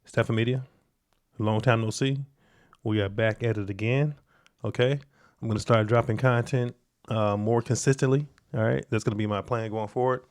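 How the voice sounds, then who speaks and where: A man's voice: medium pitch, steady tone, normal speed. A man hosting a reaction video, giving casual, conversational commentary in an informal, slangy way.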